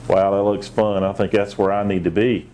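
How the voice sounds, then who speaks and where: Man, low voice, dark mellow tone, children's storybook reading, some background noise. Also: little pitch movement, normal speed. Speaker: assistant police chief, guest storybook reader. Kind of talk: reading a children's picture book aloud with brief asides.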